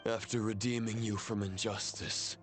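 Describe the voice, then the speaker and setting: An actor playing a proud, fanatical fallen knight in a video game, speaking in a formal, grandiose, deliberate way. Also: male voice, on the low side, flat delivery, faint music behind, natural voice. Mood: cold, resolute. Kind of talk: dramatic video game voice acting.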